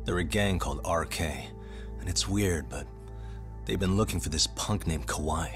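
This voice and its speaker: Calm protective detective, very low voice, measured, matter-of-fact, game cutscene drama, faint music. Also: male voice. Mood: frank, pensive. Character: calm, steady male detective, frank and protective.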